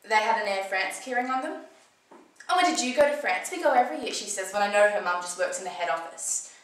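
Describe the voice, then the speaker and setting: A young woman acting a conversational monologue as a shaken, defensive teenage girl, telling her story in colloquial, halting bursts. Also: medium pitch, lively intonation, close to an everyday voice. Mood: indignant, gossipy. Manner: colloquial, swearing, halting then breathless retelling. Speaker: acting student performing a monologue. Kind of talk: dramatic screen-acting monologue, conversational first-person storytelling. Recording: echoey room, slightly distant microphone.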